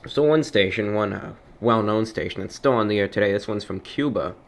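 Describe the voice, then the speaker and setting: Low, creaky-voiced male radio host. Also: brisk. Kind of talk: casual informal radio commentary.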